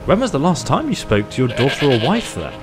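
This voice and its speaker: A man narrates his own run through a fantasy game, chatty and quick to exclaim when the fighting starts. His voice sits at an ordinary mid pitch, with loud game music running beneath it. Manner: excitable, exclaiming and role-playing in combat. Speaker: gaming YouTuber. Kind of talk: let's-play commentary.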